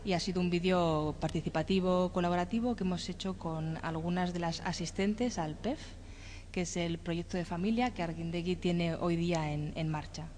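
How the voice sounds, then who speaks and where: A woman's voice: lowish voice, fairly even intonation, moderate pace, darkish tone, a little hiss or hum. A woman guest in a radio interview explaining a community video project, with fillers.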